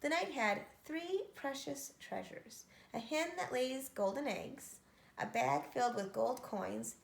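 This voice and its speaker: A woman voicing a kindly, sorrowful old woman telling her tale gravely in a storybook. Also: sits high, lively intonation, takes it slowly, a little hiss or hum, exaggerated voice. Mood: earnest. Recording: echoey room.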